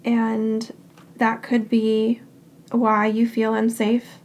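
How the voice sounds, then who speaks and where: A woman giving a tarot reading in short, unhurried phrases. Her voice is raspy and hoarse because she is getting over a cold. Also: fairly high pitch. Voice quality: raspy, scratchy throat. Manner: calm and reassuring, speaks in short unhurried phrases. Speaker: tarot card reader. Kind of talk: pick-a-card tarot reading.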